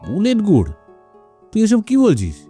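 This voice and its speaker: A man voicing a gruff, suspicious older tradesman in a cartoon, scolding and questioning. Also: high-pitched, wildly swooping pitch, normal speed, warm tone, soft background music, exaggerated voice. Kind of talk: cartoon story voice acting.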